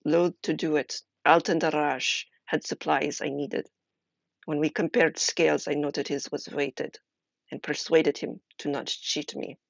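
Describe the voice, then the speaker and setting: Woman putting on a creaky Russian-accented older merchant woman. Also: low-pitched, level tone, measured pace, dry recording, muffled recording, full character voice. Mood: matter-of-fact storytelling. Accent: put-on Russian. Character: older woman merchant from medieval Siberia, vampire journal writer. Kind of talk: character reading of journal entries.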